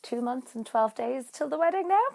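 A woman's voice: high-pitched, lively intonation, moderate pace, creaky, a little mellow. A woman with an Irish accent, speaking casually as a listener leaving a personal voice message.

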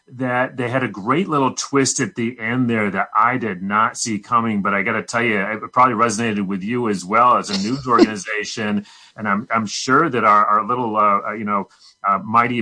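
A man's voice: on the low side, sharp tone, poor audio. A man with an American accent hosting live radio in a casual, chatty style. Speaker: radio show host.

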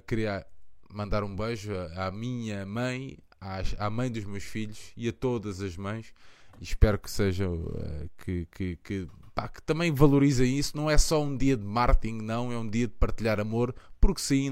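A man's voice: on the low side, steady tone, moderate pace, darkish tone, clear, resonant tone, dry recording. A man in his thirties with a European Portuguese accent hosts a live fan show, talking with passion and rambling through lots of fillers. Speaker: podcast host of a fan channel. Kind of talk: casual live chat.

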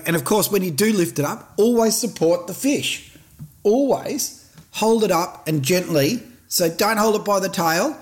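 A middle-aged Australian man hosting a fishing podcast, talking in a blunt, passionate and plain-spoken way. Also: a little high. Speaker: fishing show host. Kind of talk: casual podcast talk.

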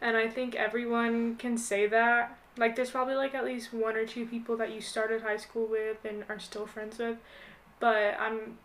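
A woman's voice: on the high side, level tone, moderate pace, a bit bright, slight background noise, rough recording. A young American woman in her late teens, chatting casually as a podcast guest in a hesitant, rambling way with lots of "like". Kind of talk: casual chat.

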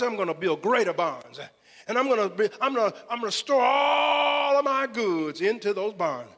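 A man's voice: very high voice, pushed and bright. A man preaching a fervent sermon with scripture, exclaiming and repeating phrases for emphasis. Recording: echoey hall with long reverb.

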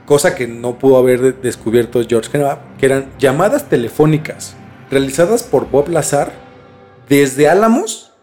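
A man with a Mexican accent telling a story casually as a podcast host, informal and halting as he searches for words. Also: mid-range voice, a little mellow, soft background music.

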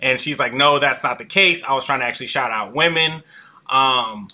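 A man's voice: medium pitch, normal speed, pushed and bright. A man hosting a casual live-stream discussion over a video call, talking loosely with many fillers and restarts and laughing often. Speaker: host. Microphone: video call mic.

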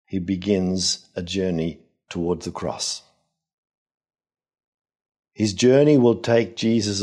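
An older man, a priest, giving a Gospel homily in measured, deliberate phrases with pauses. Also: fairly low pitch, mellow. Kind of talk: homily, expository reflection.

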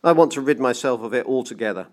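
A man's voice: medium pitch, fast, lightly acted. A man voicing a frenzied, domineering banker in an audiobook story, with a grandiose, barking manner. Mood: defiant. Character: frenzied, domineering male banker obsessed with defeating time. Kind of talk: dramatised audiobook reading.

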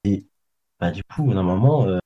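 A man haltingly telling a story, full of hesitations, over a bad, distorted mic. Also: low voice, lively intonation, highs cut off. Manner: halting, many fillers.

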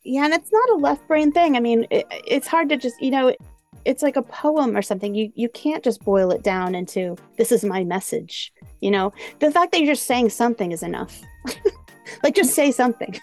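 A woman hosting a podcast in an informal, animated way, full of small asides and casual conversational phrasing. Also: a little high, moderate pace, soft background music. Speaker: podcast host.

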